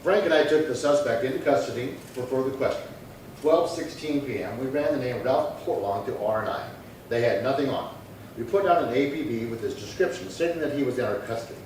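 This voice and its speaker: A man playing a businesslike, deadpan police detective, delivering clipped dialogue and case narration in a 1950s-style radio crime drama. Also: mid-range voice, a little fast, lightly acted. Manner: clipped, deadpan, matter-of-fact. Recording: very echoey room, distant mic, faint noise.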